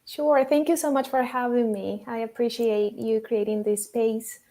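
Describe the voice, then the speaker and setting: A woman's voice: on the high side, fairly even intonation, normal speed, dark tone. A woman from Venezuela, a mental health counselor, speaking thoughtfully as a podcast guest. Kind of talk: casual interview talk.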